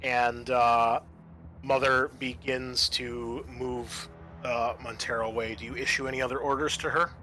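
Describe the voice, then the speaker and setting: A man with a bright, forceful voice runs a tabletop roleplaying game as game master. He gives rulings and narrates over a music bed. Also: medium pitch, little pitch movement, unhurried, rough recording.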